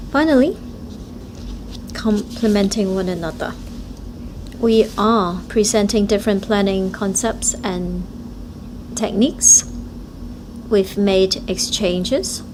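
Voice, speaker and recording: A woman interpreting speeches live at a forum, speaking in short, halting phrases. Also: medium pitch, background noise.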